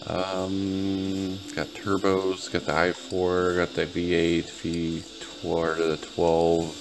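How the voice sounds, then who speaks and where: Tired dude doing laid back gaming commentary, deep voice, kinda rambly, music in the background. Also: male voice, monotone, slow pace. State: tired, just woke up. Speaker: gaming video host. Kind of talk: casual gameplay commentary.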